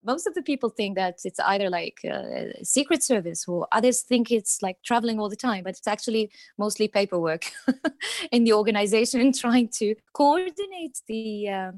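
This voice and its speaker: Woman in her 30s, interview guest, halting with fillers, dry close sound. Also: on the high side, expressive, darkish tone, no room sound. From Iraq. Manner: hesitant, many fillers and restarts, laughs lightly. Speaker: guest, diplomat. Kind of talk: casual interview answers.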